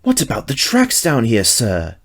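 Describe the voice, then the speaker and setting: A man voicing an elderly, gentle and slightly anxious male tram engine in a storybook reading, polite and wistful. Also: medium pitch, huge pitch swings, moderate pace. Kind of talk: storybook character voice.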